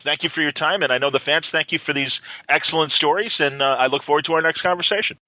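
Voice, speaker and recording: Bright-voiced man hosting a podcast. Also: on the high side, fast talker, no room sound, poor audio. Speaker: podcast host. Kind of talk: casual interview and show sign-off.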